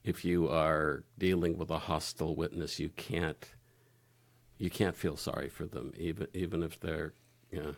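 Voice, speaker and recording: A man speaking candidly as a podcast guest, halting and searching for his words. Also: extremely low pitch, steady tone, gravelly. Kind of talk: candid personal conversation.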